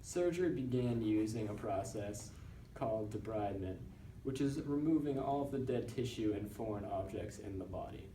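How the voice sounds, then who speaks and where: A young man reading a scripted school newscast report in a halting, recited manner. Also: lowish voice, animated, dark tone. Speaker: student news presenter in a class project. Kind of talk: scripted narration read as a newscast. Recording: roomy, distant mic with background noise.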